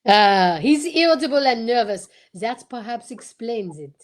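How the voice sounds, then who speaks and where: A woman voicing a shrewd male detective in an amateur radio drama, formal and halting. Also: fairly high pitch, expressive. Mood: measured. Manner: formal, deliberate, halting, peppered with French phrases.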